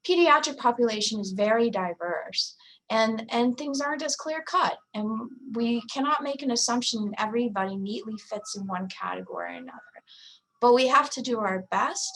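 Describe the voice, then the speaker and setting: A woman's voice: medium pitch, fairly bright tone, low-quality recording. A woman in her forties presenting a talk in a conversational way, with plenty of fillers and asides. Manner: conversational, many fillers and "you know". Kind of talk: conference talk.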